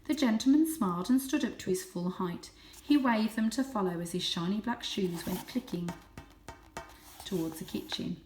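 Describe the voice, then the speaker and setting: Expressive woman storyteller in echoey room. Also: medium pitch, moderate pace, a little hiss or hum. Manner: dramatic, suspenseful. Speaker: storyteller, host of read-aloud series. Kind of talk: expressive children's audiobook narration.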